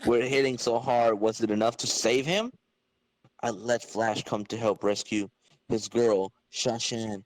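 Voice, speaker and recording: A man's voice: mid-range voice, fairly even intonation, measured pace, natural voice, rough recording. A man voicing an earnest, wisecracking young superhero who narrates himself in an amateur comic-book reading. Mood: strained, desperate.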